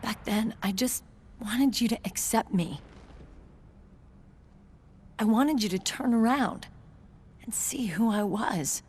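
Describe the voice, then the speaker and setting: An actress voicing a composed young woman military commander in a video game cutscene, measured, serious and articulate. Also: fairly high pitch, darkish tone, a little hiss or hum. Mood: restrained hurt. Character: composed military unit commander, grown woman, wounded pride. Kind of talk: dramatic video game cutscene acting, expository. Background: music behind the voice.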